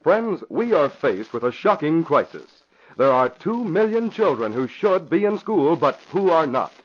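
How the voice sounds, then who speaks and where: An American male narrator in 1940s radio style. He is formal and emphatic, with a fairly high, highly inflected voice. The recording is dull and vintage. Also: very animated, measured pace, slight background noise. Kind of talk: 1940s radio announcing, scripted dramatic narration.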